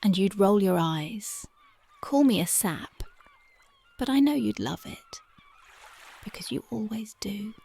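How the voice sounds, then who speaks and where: A dreamy young punk girlfriend from an ASMR roleplay, voiced by a woman. Her voice is gravelly and husky, and her words come unhurried and soft. She keeps sinking into close, devoted whispers. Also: mid-range voice, expressive, heavily acted. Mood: tender, dreamy. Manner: soft, often drifting into whispers. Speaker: ASMR voice actress.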